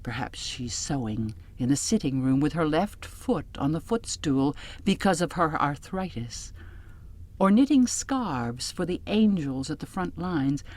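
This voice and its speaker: Low-voiced woman narrating a literary audiobook. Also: a little mellow. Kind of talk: scripted literary narration in first person.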